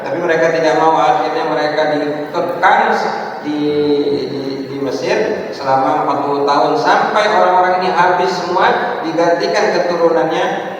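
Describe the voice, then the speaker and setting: A man's voice: fairly high pitch, slight background noise. A male preacher with a stuffy nose from a cold, giving a conversational dawn lesson in a mosque and checking in with the congregation. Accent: Indonesian. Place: mosque prayer hall. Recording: echoey hall from far off.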